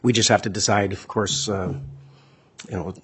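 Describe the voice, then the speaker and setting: Male committee chair, folksy and halting, husky tone. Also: on the low side, steady tone. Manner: halting, many "uh" fillers, folksy. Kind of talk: chairing a legislative hearing.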